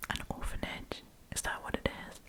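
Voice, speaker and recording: A woman whose first language is German, speaking with an Australian accent, chatting in a whispered ASMR storytime with many "like" fillers and pauses to search for words.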